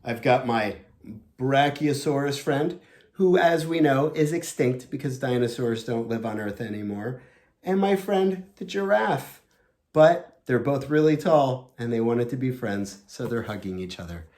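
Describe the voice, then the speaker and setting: A man teacher reading a storybook aloud to young children, expressive and chatting directly to them with simple questions. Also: mid-range voice, a little slow.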